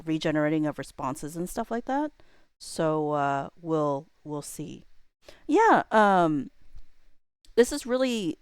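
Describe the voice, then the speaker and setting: A woman's voice: lowish voice, smooth, dry recording. A woman, perhaps in her thirties, chatting casually on a livestream in a rambling, self-deprecating way with informal slang.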